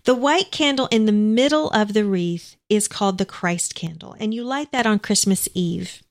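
Female radio co-host, medium register, measured and orderly explainer for a faith programme. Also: medium pitch.

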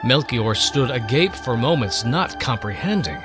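A man's voice: mid-range voice, lively intonation, normal speed, over music. A man narrating a scripted story as host, in a theatrical, literary delivery.

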